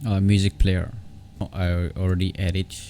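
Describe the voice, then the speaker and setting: Deep-voiced, creaky man giving a tutorial. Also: flat delivery, dark tone, a little hiss or hum. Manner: halting, repetitive, often restarting phrases. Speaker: tutorial host. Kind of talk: unscripted software tutorial.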